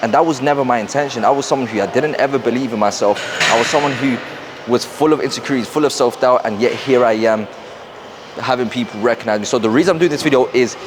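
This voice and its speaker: A young man with a London accent, talking fast and rambling to camera in a casual motivational vlog, wearing a clip-on mic in a gym. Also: mid-range voice, background noise. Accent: London English. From South London, England. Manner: fast, rambling, repeats himself. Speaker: fitness and mindset coach. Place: busy gym.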